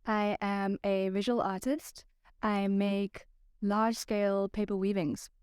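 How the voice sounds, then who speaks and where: A woman's voice: mid-range voice, flat delivery, a little slow, darkish tone, clear, resonant tone, dry recording, studio quality. A woman from South Africa, a visual artist, explaining her work with a giggly, hesitant manner. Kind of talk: casual chat.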